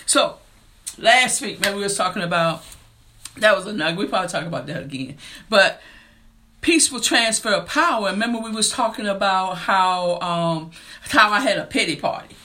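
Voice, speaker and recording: A woman in her fifties hosting a faith-based motivational talk show, earnest and preacher-like, building to excited emphasis. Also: mid-range voice, normal speed. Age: 50s. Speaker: podcast and radio show host.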